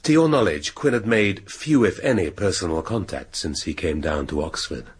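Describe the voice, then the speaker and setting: British detective inspector, really husky low voice, dry, probing, radio drama. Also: male voice, animated, full character voice. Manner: deliberate, pointed questioning. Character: senior police inspector, probing, dry, authoritative.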